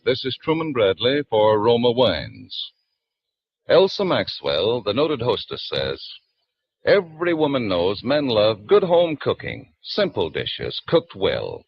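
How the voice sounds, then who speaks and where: A male radio announcer delivering a scripted ad in a smooth, persuasive way. His pitch swings with lively emphasis. The vintage broadcast sound is dull and lo-fi. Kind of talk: scripted sponsor advertisement.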